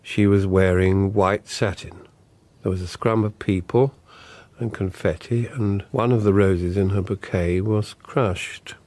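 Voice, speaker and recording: An actor playing a diffident, bereft older politician in a radio drama, formal, measured and wistful. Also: male voice, low voice, fairly even intonation, a little mellow, no room sound.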